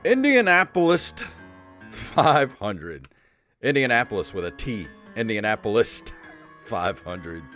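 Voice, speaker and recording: A man's voice: lowish voice, sing-song, measured pace, fairly bright tone. A middle-aged man hosting a comedic podcast, talking casually in a rambling, sweary, mildly exasperated way. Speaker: podcast host. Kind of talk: casual comedic podcast chat and reading aloud. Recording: music playing under the voice. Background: royalty-free synthesizer music.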